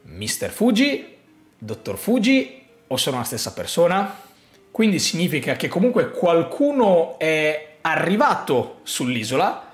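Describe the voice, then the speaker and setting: A man, explaining a theory to camera in an emphatic, suspenseful way, repeating phrases for effect. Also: a little high. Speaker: YouTube host. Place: small home room, indoors. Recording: roomy echo. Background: background music.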